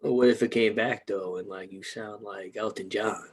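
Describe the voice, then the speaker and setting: A man co-hosting a podcast, his voice hoarse and worn down to a gravelly crackle. He talks loosely, joking and swearing freely, in casual chat. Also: lowish voice, fairly even intonation, normal speed. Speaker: podcast co-host.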